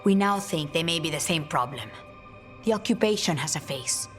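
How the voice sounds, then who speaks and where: A woman's voice: deep voice, animated, normal speed, soft background music. A woman voicing a fierce, testy female assassin in a video game, speaking in a curt, menacing way. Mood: terse, resolute.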